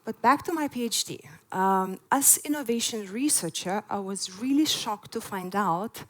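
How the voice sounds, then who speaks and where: A woman in her forties, originally from Lithuania, giving an earnest prepared talk at a festival with frequent "uh" fillers. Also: mid-range voice. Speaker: conference speaker. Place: festival stage before an audience.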